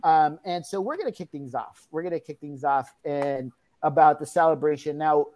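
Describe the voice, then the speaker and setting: A woman hosting a panel discussion, warmly repeating her praise of each guest before asking the next one to speak. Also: deep voice, normal speed. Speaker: host.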